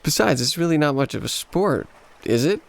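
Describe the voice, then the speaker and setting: Awkward young American, creaky and animated. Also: male voice, a little high, normal speed. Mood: modest, casual. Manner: hesitant, self-effacing. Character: awkward, smitten young American man on vacation. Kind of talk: radio play acting.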